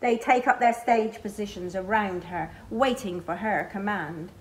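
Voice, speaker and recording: A middle-aged woman reading a picture book aloud to children, expressively, pausing to point out the pictures. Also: mid-range voice, a little hiss or hum, low-quality recording. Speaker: library storyteller. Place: small library children's corner, indoors.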